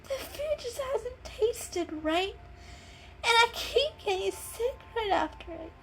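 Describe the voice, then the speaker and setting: Grumpy sick storybook kitty, stuffed up, whiny n snappy, really high n swoopy. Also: female voice, lively intonation, slow pace, fairly bright tone, a little hiss or hum, full character voice, poor audio. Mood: miserable, whiny. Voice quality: congested.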